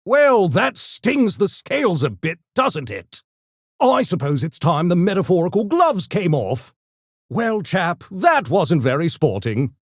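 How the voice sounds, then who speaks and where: High-pitched, wildly animated gremlin intellectual. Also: male voice, a little slow, no room sound, studio quality, exaggerated voice. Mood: smug, taunting. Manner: posh, pompous, theatrical, mock-polite diction. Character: urbane genteel mischievous gremlin, a genetically engineered intellectual creature. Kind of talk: video game character voice lines.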